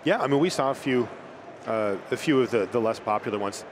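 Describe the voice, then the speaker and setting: A man commentating a card game tournament in a lively, conversational way, with filler words here and there. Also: medium pitch, a little fast, background noise. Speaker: esports commentator. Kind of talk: play-by-play commentary.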